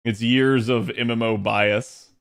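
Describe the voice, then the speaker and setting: A man's voice: mid-range voice, steady tone, slow pace, creaky. A man casually chatting and laughing through a game on his stream, slangy and laid-back. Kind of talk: casual gaming stream chat.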